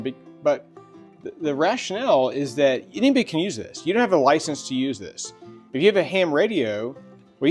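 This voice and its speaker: A man's voice: on the high side, a little mellow. Casual man hosting a review video. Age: middle-aged. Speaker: video host. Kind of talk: casual product review chat.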